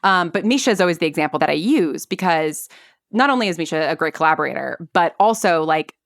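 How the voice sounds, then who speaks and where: A woman in her thirties speaks in a slightly low voice, recorded very dry. She talks casually as a guest in an interview, rambling along animatedly and peppering her sentences with "like". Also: lively intonation, fast, creaky, no echo. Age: 30s. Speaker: guest, audio drama writer.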